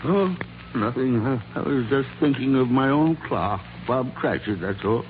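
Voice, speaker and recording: An actor playing an anxious old miser in a 1940s radio drama, flustered and stammering, softening with regret. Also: male voice, mid-range voice, animated, measured pace, a little hiss or hum, natural voice. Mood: regretful, earnest. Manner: flustered, stammering, repeating words. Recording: tinny 1940s radio recording.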